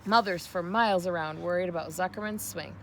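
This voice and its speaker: A woman giving an expressive read-aloud narration of a children's novel. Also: on the low side, fairly quick, a little hiss or hum. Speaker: storybook reader.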